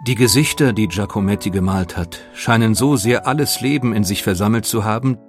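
A man reading scripted biographical narration in a measured, composed manner. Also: on the low side. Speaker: documentary narrator. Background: music.

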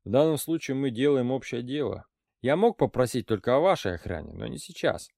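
A man reading an audiobook, voicing a shrewd, calm older intelligence officer who speaks in a dry, ironic, lecturing way. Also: mid-range voice, a little fast, creaky, no room sound. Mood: wry.

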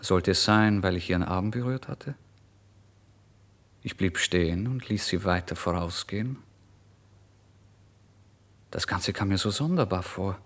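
A man's voice: low-pitched, a little slow, pauses often. An actor playing an impoverished, irritable and impulsive male writer, telling his story in a theatrical, emphatic radio monologue. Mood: wondering, unsettled. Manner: theatrical, emphatic, swinging between hushed and loud. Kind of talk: literary first-person radio monologue, dramatised narration.

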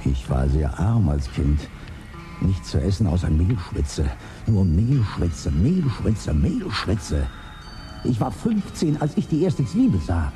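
An actor in his forties playing a man who grew up poor, speaking in a slow, brooding way in a radio drama. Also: low voice, big pitch swings, mellow, heavily acted. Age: 40s. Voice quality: gravelly. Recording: studio with music underneath.

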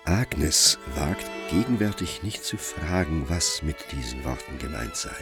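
A man narrating a literary radio play in a grave, measured and expressive manner. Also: a bass voice, over music.

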